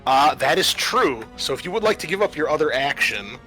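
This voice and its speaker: Bright-voiced man running a tabletop game. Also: on the high side, big pitch swings, a little fast, soft background music, low-quality recording. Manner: informal, swears casually. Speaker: game master. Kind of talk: running a tabletop roleplaying game, casual rules explanation.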